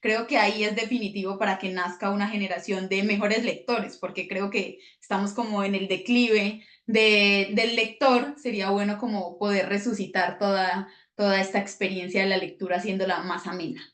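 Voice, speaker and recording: A woman's voice: medium pitch, steady tone, normal speed, few pauses, fairly bright tone. Echoey woman hosting a book talk. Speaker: host.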